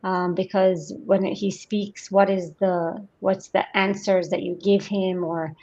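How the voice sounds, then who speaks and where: A woman hosting a group session over a video call, gently coaxing participants with questions. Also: mid-range voice, flat delivery, slow, lo-fi sound. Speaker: host guiding a group session.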